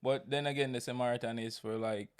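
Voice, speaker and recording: A man's voice: medium pitch, fairly even intonation, fast, clear, resonant tone. A Jamaican man in his thirties, hosting a casual podcast chat in a playful, teasing way. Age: 30s. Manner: playful, teasing, repeats himself. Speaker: podcast host.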